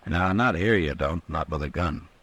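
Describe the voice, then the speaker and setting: A frontier marshal in a vintage Western radio play. He is American, with a very low voice, and speaks in a measured, firm way, questioning people with calm authority. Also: slightly rough, exaggerated voice. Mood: probing. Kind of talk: 1950s radio drama acting.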